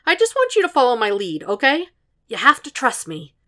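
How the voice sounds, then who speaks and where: A woman voicing an earnest young man who speaks with eager, rising, loud bursts. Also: high-pitched, wildly swooping pitch, fairly quick, pitched above the performer's own voice, exaggerated voice.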